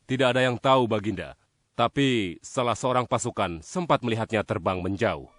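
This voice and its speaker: A man's voice: lowish voice, normal speed, slight background noise, lightly acted. A man playing an obedient, anxious royal guard, earnest and deferential, in a children's cartoon. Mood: worried. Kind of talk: children's animated religious story acting. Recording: loud music behind the voice.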